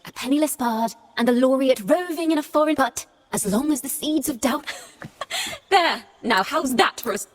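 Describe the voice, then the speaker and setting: A woman voicing a playful, wry and poetic wandering bard in a video game, with a slightly breathy voice. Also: high-pitched, expressive, moderate pace. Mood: playful, theatrical. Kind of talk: video game character acting. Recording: music behind the voice.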